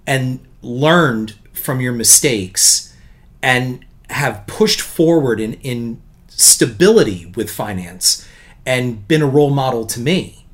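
A man co-hosting a podcast in casual chat, speaking earnestly and slowly, and gently poking fun at himself. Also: mid-range voice, lively intonation. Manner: earnest, self-deprecating, slow and deliberate. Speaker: podcast co-host.

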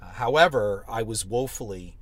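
A middle-aged man with a husky, low voice co-hosts a casual podcast. He talks in a wry, joking way, trading banter with his co-host. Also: moderate pace, dry recording. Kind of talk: casual chat.

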